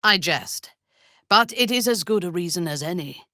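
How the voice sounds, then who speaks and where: An actress voicing a ruthless, imperious female dark elf commander in a fantasy game, with haughty, formal, menacing delivery. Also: lowish voice, animated, moderate pace, no echo, studio quality. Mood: cold, haughty. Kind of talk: dramatic fantasy game voice acting.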